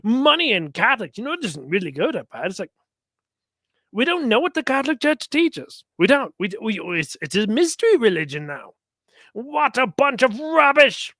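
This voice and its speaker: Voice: mid-range voice, big pitch swings, a little fast, fairly bright tone, dry recording, clean studio sound. A commentator giving sarcastic religious reactions to clips, mocking and often acting out imagined exchanges.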